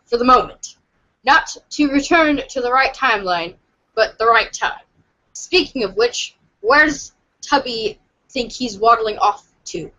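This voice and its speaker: A woman's voice: high voice, bright, forceful tone, lo-fi sound. A woman reading narration aloud in a theatrical style for a podcast. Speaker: podcast cast reader.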